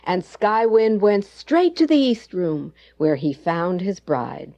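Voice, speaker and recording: A woman narrating a children's bedtime fable with gentle, deliberate, dramatic phrasing. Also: on the low side. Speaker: storyteller. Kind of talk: expressive scripted bedtime-story narration. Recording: dull digitized cassette, music behind.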